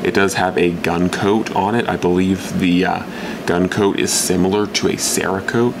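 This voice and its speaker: A man's voice: low-pitched, fairly even intonation, normal speed, creaky, background noise. A young man casually reviewing a knife at his workbench, rambling with fillers and restarts. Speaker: outdoor gear reviewer. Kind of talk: casual gear review talk. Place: indoors at a workbench.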